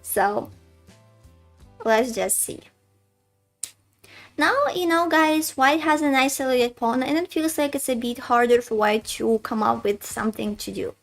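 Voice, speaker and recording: A woman's voice: a little high, unhurried, long pauses, faint music behind. Russian-accented woman streaming chess. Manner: chatty, addresses chat as guys, thinks aloud. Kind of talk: live-stream commentary while playing.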